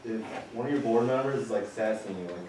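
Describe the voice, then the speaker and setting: Un homme dans le public pose une question sur un ton décontracté, en truffant ses phrases de « like ». Sa voix, plutôt grave, arrive de loin. La salle résonne fortement et un bruit de fond bien audible l'accompagne. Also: male voice, lowish voice, fast, background noise. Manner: casual, many "like" fillers. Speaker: audience member asking a question. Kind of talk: casual questioning. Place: classroom. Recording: far from the mic in an echoey classroom.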